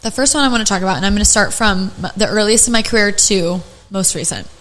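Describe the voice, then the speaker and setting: A young adult woman with a raspy voice, hosting a podcast and telling stories in casual chat full of fillers and restarts. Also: mid-range voice, fast talker, fairly bright tone, rough recording. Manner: chatty storyteller, many fillers and restarts. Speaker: podcast host.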